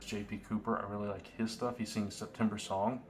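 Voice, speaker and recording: A slightly hoarse young man talking casually to camera in a vlog, earnest and rambling with lots of fillers. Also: fairly low pitch, flat delivery, fairly quick, a little hiss or hum. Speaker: vlogger.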